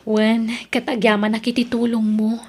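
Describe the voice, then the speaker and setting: A woman's voice: fairly high pitch, completely flat delivery, normal speed, a little mellow, natural voice. A woman with an Ilocano accent acting in an audio drama as a young student, an evasive and defiant girlfriend. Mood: evasive, explaining.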